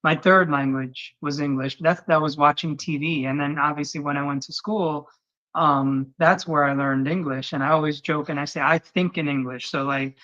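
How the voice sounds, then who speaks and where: A man's voice: a little high, fairly even intonation, moderate pace, pushed and bright. A man whose first language is Portuguese, talking in a loose, heartfelt, rambling way in a casual chat. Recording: slightly dull lo-fi recording.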